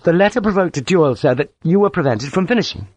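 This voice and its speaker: Man voicing a nervous, stammering young lieutenant, higher-pitched. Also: animated, fast talker, a little mellow, no room sound. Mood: anxious, hesitant. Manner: deferential, hesitant, apologetic. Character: nervous, timid young lieutenant, barely out of school.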